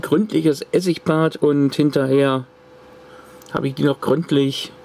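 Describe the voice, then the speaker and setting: A man's voice: on the high side, fairly even intonation, unhurried, vocal fry, a little mellow, slight background noise. A man casually showing a corroded circuit card to the camera at his home workbench, rambling with restarts and fillers. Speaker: retro computer hobbyist. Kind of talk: casual show-and-tell vlog.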